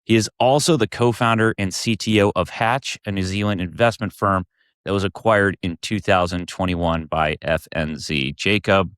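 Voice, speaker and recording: A young man hosting a podcast, reading a polished intro and then asking relaxed, friendly questions. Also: deep voice, moderate pace, clean tone, no echo, studio quality. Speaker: podcast host. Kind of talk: podcast interview.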